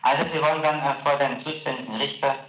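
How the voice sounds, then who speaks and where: A man's voice: medium pitch, steady tone, fast talker, fairly bright tone, echoey room, highs cut off. A man working as a prosecutor, questioning a suspect firmly and insistently. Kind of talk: police interrogation tape.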